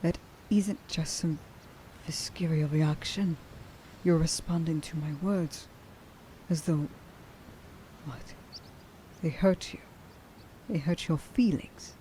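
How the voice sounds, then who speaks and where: Woman acting a slow-spoken, stern huntress. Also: low voice, dark tone, slight background noise, low-quality recording. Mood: disbelieving, unsettled. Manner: slow, halting, intense delivery with sighs. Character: stern, grieving young huntress who hates werewolves. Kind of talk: dramatic ASMR roleplay monologue.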